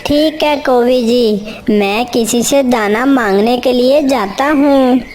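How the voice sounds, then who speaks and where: Lady doing a sneaky lazy cartoon crow guy, kinda high voice, coaxing, faint noise behind. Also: takes it slowly, darkish tone. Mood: worried. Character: sly, lazy male crow, smooth-talking trickster. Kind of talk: children's cartoon character dialogue.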